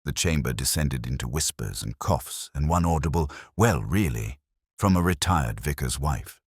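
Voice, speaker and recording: Very deep, husky male storyteller. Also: dry recording, clean studio sound. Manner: measured, storytelling. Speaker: narrator. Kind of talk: scripted mystery narration.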